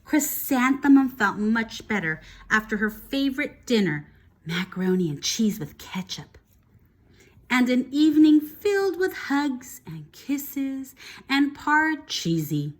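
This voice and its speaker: Slow, expressive woman reading a storybook aloud. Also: high-pitched. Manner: expressive, explanatory, questioning. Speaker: first-grade teacher reading aloud. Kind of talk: storybook read-aloud with explanations for children.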